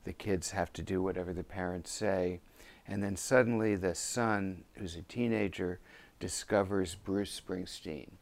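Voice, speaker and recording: A man's voice: sits low, fairly even intonation, unhurried, creaky. A man interviewing in a conversational way, with a wry, teasing manner.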